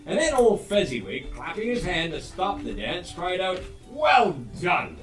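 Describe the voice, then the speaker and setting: A man's voice: high voice, wildly swooping pitch, a little slow, fairly bright tone, heavily acted. A man narrating a Christmas tale on stage as a theatrical storyteller. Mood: expressive storytelling. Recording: roomy live stage, distant mic, music behind. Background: music.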